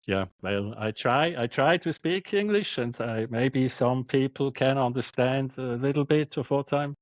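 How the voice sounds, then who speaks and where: A man with a Swiss accent, a doctor speaking as a podcast guest, halting and repeating words but emphatic. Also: medium pitch, dry recording, dull audio. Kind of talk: podcast interview.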